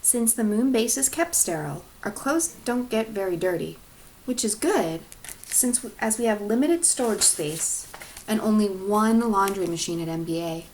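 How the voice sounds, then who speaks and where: A woman's voice: medium pitch, animated, moderate pace, darkish tone, a little hiss or hum, low-quality recording. Woman reading a story aloud. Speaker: teacher reading aloud to class. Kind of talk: expressive storybook read-aloud.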